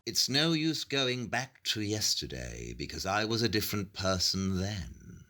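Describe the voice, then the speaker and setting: A brilliant, self-assured Victorian detective in an audio play. His voice sits fairly low and moves through very lively swings of pitch as he reasons things out with pedantic precision. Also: a little slow, heavily acted. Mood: detached. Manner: analytical, declarative, pedantic.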